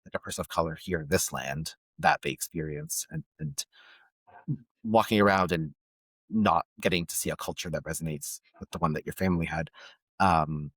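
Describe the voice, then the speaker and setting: A man from Palestine, a guest on a podcast, speaking thoughtfully and pausing often to search for words. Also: low-pitched, fairly bright tone, breathy edge, no room sound. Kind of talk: reflective conversation.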